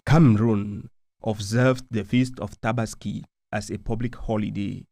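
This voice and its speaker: A man reading a scripted news report as a voice-over, slow and deliberate. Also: on the low side, steady tone, mellow, a little hiss or hum.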